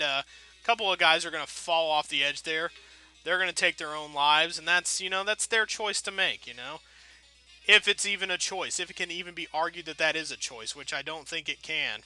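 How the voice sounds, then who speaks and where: Bright-voiced man rambling through game commentary. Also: fairly high pitch, rough recording. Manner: wry, self-deprecating, thinking aloud. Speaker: video game let's player. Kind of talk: casual rambling gameplay commentary.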